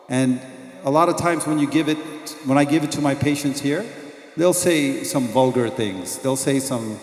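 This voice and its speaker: A doctor giving a mosque lecture, telling personal stories in an earnest way that turns quiet and reverent at moments. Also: male voice, mid-range voice. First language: not Arabic. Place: mosque hall. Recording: echoey hall, long reverb.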